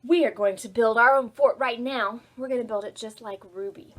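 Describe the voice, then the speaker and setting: A woman's voice: a little high, normal speed, noisy background. A woman hosting a library storytime, reading and giving simple instructions expressively for preschool children. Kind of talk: preschool read-aloud and instruction.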